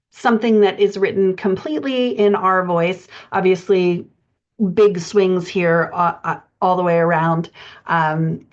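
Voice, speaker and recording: A woman coaching writers in an explanatory lecture style, speaking haltingly with pauses as she thinks through her points. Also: lowish voice. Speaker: writing coach presenting a podcast lesson. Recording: dull, band-limited audio.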